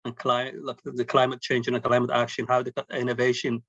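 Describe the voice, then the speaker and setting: A man asks an earnest question, halting and restarting often. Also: mid-range voice, monotone, fairly bright tone, dry recording. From Somalia. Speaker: audience member asking a question. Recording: dull lo-fi video call audio.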